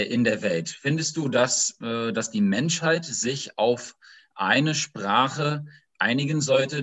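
A man asking questions after a talk, halting and full of restarts. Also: mid-range voice, a bit bright. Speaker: audience member asking questions. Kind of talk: conversational questions.

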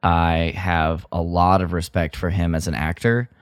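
A man's voice: low voice, level tone, a little slow, smooth, no echo, studio quality. A man co-hosting a podcast, talking in a casual fan-chat style. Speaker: podcast co-host.

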